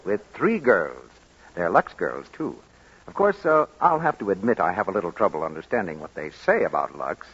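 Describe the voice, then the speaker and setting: A man's voice: sits low, sing-song, normal speed, fairly bright tone, husky. A man announcing and reading a scripted commercial as a 1940s radio announcer. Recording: muffled 1940s radio broadcast.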